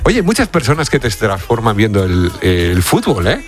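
A man with an accent from Spain hosting a casual radio chat, conversational and quick to react with short interjections. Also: fairly low pitch, huge pitch swings. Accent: Spanish from Spain. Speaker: radio show host. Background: background music.

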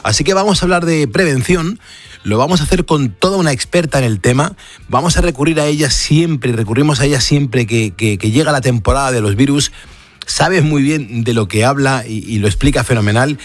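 A man's voice: medium pitch, a little fast. A male late-night radio host with a Castilian Spanish accent. He speaks in a conversational way, addressing listeners directly as if keeping them company live on air. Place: radio studio.

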